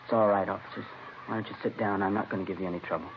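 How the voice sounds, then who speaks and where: An actor playing a resigned, brooding murderer in a 1950s radio drama, musing quietly and fatalistically. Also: male voice, low voice, fairly even intonation, racing. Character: resigned, brooding male murderer giving himself up. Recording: dull, noisy old radio recording.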